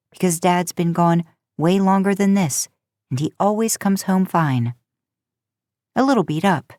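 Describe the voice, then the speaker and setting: A woman narrating a story aloud in a casual, expressive way. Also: fairly low pitch, dry recording. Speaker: podcast host narrating fan fiction.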